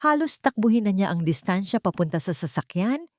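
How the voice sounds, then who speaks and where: A woman's voice: mid-range voice, sing-song, fairly quick, dry recording, clean studio sound. A woman reading dramatic scripted fiction as a story narrator.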